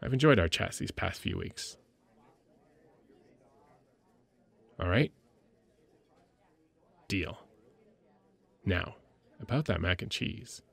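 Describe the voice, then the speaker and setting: A man playing a caring, playfully gruff military medic lieutenant in an intimate audio roleplay, with an informal, hesitant, teasing delivery. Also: deep voice, lively intonation, takes it slowly, long pauses, a bit bright, dry recording, exaggerated voice. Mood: teasing, fond.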